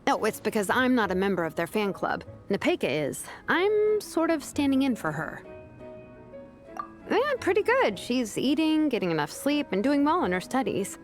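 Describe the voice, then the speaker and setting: A woman's voice: a little high, normal speed, soft background music. A woman voicing a laid-back, dry-witted young craftswoman with a fond big-sister manner in a video game. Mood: relaxed, fond. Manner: casual, wry, slightly deadpan.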